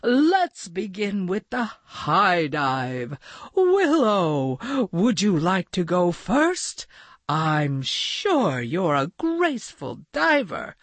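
A woman's voice: fairly high pitch, big pitch swings, drawn-out words, mellow, breathy edge, dry recording, pitched above the performer's own voice, exaggerated voice. A woman voicing a polite, showy male pond animal who announces grandly in a children's story. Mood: courteous announcing.

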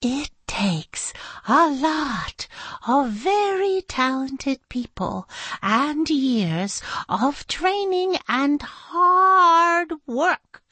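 A woman's voice: high voice, animated, very slow, pushed and bright, dry recording, voice pushed up, exaggerated voice. A woman reading a storybook aloud, voicing a stern schoolteacher who is exasperated and sometimes drops to a tense whisper. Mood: admiring. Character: stern woman schoolteacher fussing over paperclips.

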